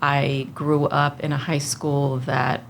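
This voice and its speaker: A woman's voice: deep voice, flat delivery, slow, creaky, a little hiss or hum. A woman in her forties, a podcast guest, telling her life story in an earnest, reflective way with frequent fillers. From United States. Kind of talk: casual conversational interview.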